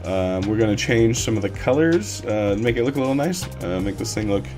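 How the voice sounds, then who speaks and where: A man with a slightly hoarse voice, streaming a casual coding tutorial from his desk and rambling with lots of fillers. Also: on the low side, a little fast, music in the background. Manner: relaxed, rambling with many fillers. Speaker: livestream coding instructor.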